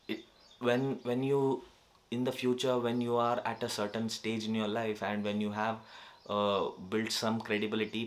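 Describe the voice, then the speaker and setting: A young man with an Indian accent, a native Kannada speaker, talking casually to camera in a vlog, hesitant and full of fillers and restarts. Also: on the low side. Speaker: vlogger. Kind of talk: casual self-improvement vlog.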